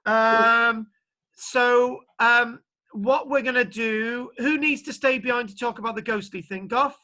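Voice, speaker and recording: A man's voice: extremely high pitch, steady tone, a little slow, pushed and bright. A man lecturing an online archaeology class, chatty and jokey, rambling a little as he talks. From Britain. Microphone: video call.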